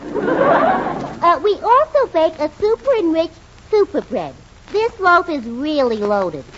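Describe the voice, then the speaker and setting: A woman playing a wisecracking, man-hungry bakery clerk in a 1940s radio comedy, brash and deadpan with her punchlines. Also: very high voice, slow pace, heavily acted. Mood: brash, joking. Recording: vintage 1940s radio, muffled, faint noise.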